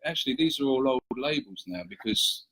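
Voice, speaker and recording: A man as a guest on a casual interview, talking in a hesitant way with frequent pauses. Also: mid-range voice, animated, bright, forceful tone, slightly rough, low-quality recording. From England. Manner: hesitant, many fillers and restarts. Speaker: guest, small business owner.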